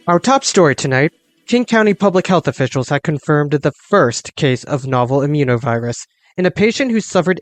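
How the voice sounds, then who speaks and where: Man acting as a higher-pitched evening news anchor. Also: natural voice. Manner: formal, measured. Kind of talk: scripted news reading.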